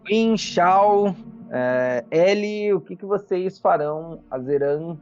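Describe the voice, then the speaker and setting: A man's voice: high voice, takes it slowly, darkish tone. A Brazilian man working as game master, describing a fantasy world for a tabletop roleplaying game with conversational tags along the way. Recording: dull lo-fi audio under music. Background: background music.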